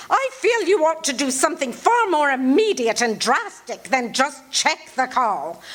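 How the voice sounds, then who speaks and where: A woman's voice: high-pitched, fairly bright tone, full character voice. An actress playing a fretful, imperious bedridden wife in a live radio-theatre show, indignant and fussy, stumbling over her words. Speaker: lead actor in a radio play. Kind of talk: live radio-theatre acting before an audience.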